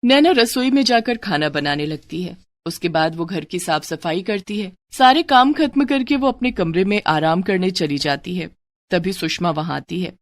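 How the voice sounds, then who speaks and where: A woman's voice: on the low side, expressive, moderate pace, no room sound. A woman narrator reading a scripted moral story in a storytelling style.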